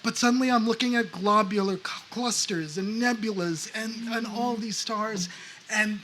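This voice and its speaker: A man's voice: sits high, moderate pace, pushed and bright, a little hiss or hum, low-quality recording. A man from the United States, a panelist author, telling a story animatedly on a live panel and acting out other people's voices.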